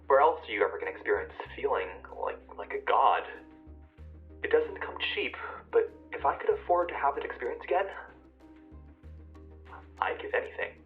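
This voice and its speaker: A man playing an anonymous source recalling a dangerous high in halting, intense words, as a dull lo-fi recorded message. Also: sing-song, faint music behind. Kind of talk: audio drama acting.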